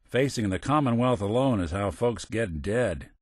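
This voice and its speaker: A man's voice: lowish voice, expressive, moderate pace, a little mellow, dry recording, clean studio sound. A male actor playing a world-weary, principled android detective in a video game, with a wry, folksy hardboiled way of talking and old-fashioned slang. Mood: stern, fed up. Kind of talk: video game companion dialogue, noir detective.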